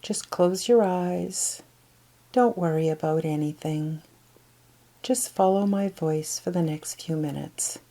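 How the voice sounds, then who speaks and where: A woman leading a guided meditation in a gentle, soft, breathy voice that often trails toward a whisper, with unhurried pauses. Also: lowish voice. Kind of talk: guided meditation, soothing instruction.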